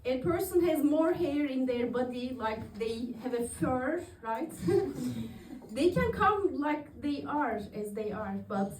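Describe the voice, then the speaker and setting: High-voiced woman doing stand-up from Turkey. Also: expressive, slow, recorded from a distance, noisy background. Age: 30s. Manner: chatty, laughs at her own jokes. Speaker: stand-up comedian. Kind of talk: open-mic stand-up comedy. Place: comedy club stage, audience in the room. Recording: echoey room recorded from afar.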